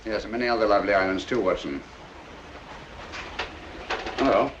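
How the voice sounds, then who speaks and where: An actor playing a shrewd, urbane middle-aged British detective in a 1940s film, speaking with crisp, dry wit and command. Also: male voice, on the low side, moderate pace, fairly bright tone, dull audio, natural voice. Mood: brisk, amused. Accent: British English. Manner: crisp, urbane, dryly witty. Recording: noisy 1940s film soundtrack.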